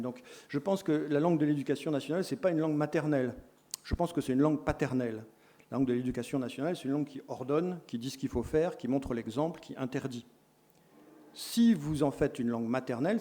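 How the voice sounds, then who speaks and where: A middle-aged man lecturing teacher tutors, explaining points with lively emphasis and quick self-corrections. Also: medium pitch. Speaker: lecturer in education sciences.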